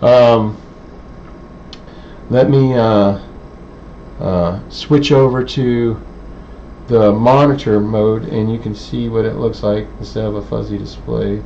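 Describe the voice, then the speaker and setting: Male presenter for an informal technical walkthrough: subdued, even tone, hesitant pacing with pauses, slightly noisy lo-fi sound. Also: on the low side, creaky. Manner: halting, hesitant delivery with many pauses. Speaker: presenter, radio hobbyist. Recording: dull, lo-fi sound with faint background noise.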